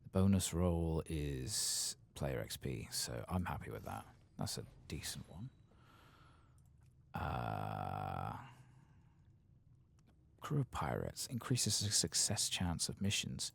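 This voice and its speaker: A man softly murmuring low-key ASMR game commentary to himself, breathy and gravelly, often slipping into a whisper. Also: big pitch swings, takes it slowly, slight background noise. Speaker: streamer playing a video game.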